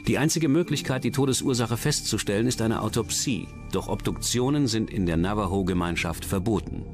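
A man's voice: fairly low pitch, fairly quick. A man reading scripted true-crime documentary narration as the narrator. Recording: music under the voice. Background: music.

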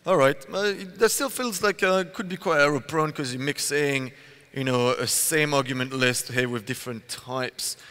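A man's voice: mid-range voice, moderate pace, sharp tone, clean tone. A man from Belgium in his thirties, co-presenting a tech talk on stage with a headset mic, chatty and full of "you know" fillers. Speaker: conference co-presenter. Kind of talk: prompting questions in a tech talk. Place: large conference hall stage. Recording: long hall reverb.

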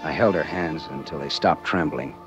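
An actor playing a wry, hardboiled private detective in a 1940s radio drama, with an American accent and a sardonic, clipped manner. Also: male voice, rumbling low, moderate pace, over music. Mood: brooding narration. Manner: sardonic, clipped, world-weary. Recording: dull 1940s radio recording.

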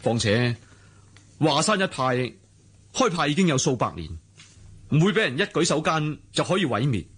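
A man's voice: a little high, big pitch swings, normal speed, pauses often, a bit bright, breathy edge, exaggerated voice. An actor playing a proud, free-spirited young swordsman in a radio drama, earnest and dramatic, with a Hong Kong Cantonese accent. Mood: defiant, resolute. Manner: earnest, dramatic, musing aloud.

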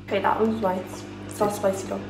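A woman's voice: medium pitch, level tone, fairly quick, darkish tone. Young woman, short plain remarks, roomy kitchen with music behind. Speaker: guest taster. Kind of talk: casual chat. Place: home kitchen, indoors. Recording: echoey kitchen, voice from off-mic.

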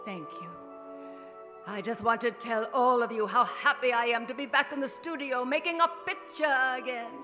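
An actress playing an aging, deluded silent-film star with theatrical, halting grandeur in a radio drama. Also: female voice, on the high side, sharp tone, soft background music. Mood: rapturous, deluded. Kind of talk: 1950s radio drama acting. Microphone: vintage-style stand microphone on stage. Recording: live theatre stage, long hall reverb.

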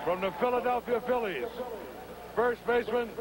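A man with a high voice calls out player introductions over a stadium loudspeaker. He speaks slowly and deliberately, pausing between each name. A loud roar of stadium noise surrounds his voice on this old 1980 broadcast. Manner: slow, deliberate, drawn-out pauses between names. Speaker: stadium public address announcer. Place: outdoor ballpark stadium. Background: loud crowd.

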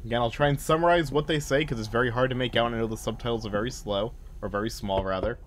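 A man's voice: mid-range voice, fairly even intonation, quick pace, slight background noise. A man doing casual playthrough commentary as a gaming host, thinking aloud and talking back to game characters. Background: game ambience.

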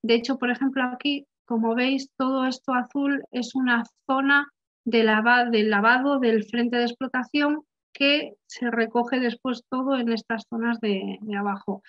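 Halting young woman presenting slides. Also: on the high side, fairly even intonation. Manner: halting, many restarts and filler sounds. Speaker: researcher presenting at a conference. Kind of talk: online conference talk over slides. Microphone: webcam video call. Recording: slightly muffled video-call audio.

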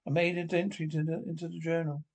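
A man's voice: fairly high pitch, level tone, fast talker, creaky. A man narrating a radio drama script by himself, reading stage directions aloud and stumbling over words.

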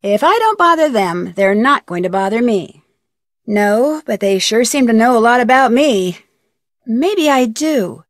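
A young American woman playing a plucky, determined detective in an adventure game, speaking earnestly and clearly. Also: fairly high pitch, no room sound, clean studio sound. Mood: matter-of-fact. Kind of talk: scripted game dialogue.

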